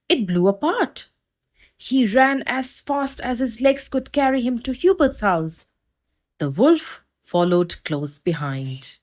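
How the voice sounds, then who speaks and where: A woman reading a children's fairy tale aloud with expressive storytelling. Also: mid-range voice. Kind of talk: children's read-aloud narration.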